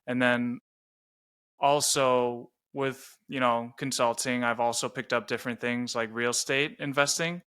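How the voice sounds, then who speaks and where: Bright, clear-voiced young man chatting. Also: medium pitch, a little slow. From Chicago suburbs, Illinois. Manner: relaxed, rambling, many "like" fillers. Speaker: podcast co-host. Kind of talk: casual chat.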